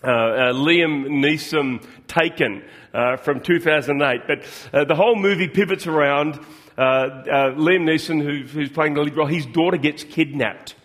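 A middle-aged man gives a sermon in an easygoing, talky way. His voice sits a little high for a man. He often pauses on fillers and restarts his sentences. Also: fairly bright tone. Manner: conversational, with frequent fillers and restarts. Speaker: preacher.

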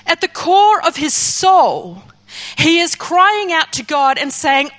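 A woman's voice: high voice, sharp tone. A woman preaching a passionate sermon, voicing Moses's pleas in the first person. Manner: impassioned, acts out Moses pleading in first person.

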